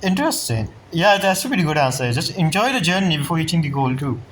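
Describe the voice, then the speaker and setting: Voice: mid-range voice, very animated, fast talker, slight background noise. A game streamer giving casual, informal reactions in short muttered interjections and repeated phrases. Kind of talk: casual gameplay commentary. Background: faint game music.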